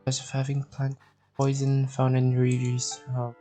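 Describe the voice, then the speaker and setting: A young man live-streaming a game, casually commenting and reading aloud in a halting, stumbling way. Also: medium pitch, monotone, mellow. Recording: game music behind the voice.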